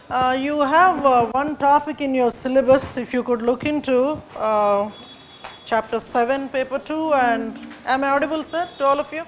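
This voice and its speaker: A woman's voice: high voice, steady tone, measured pace, slight background noise, poor audio. A young woman with an Indian accent, giving a classroom lecture in a rambling way full of fillers and restarts.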